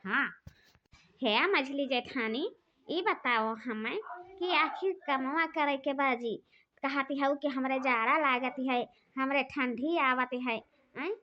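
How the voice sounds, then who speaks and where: Woman doing a high-voiced awadhi sister-in-law, bossy and taunting, cartoon, muffled audio. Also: takes it slowly, a little hiss or hum. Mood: quarrelling. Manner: scolding, taunting, loud. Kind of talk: cartoon voice acting. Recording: dull lo-fi recording.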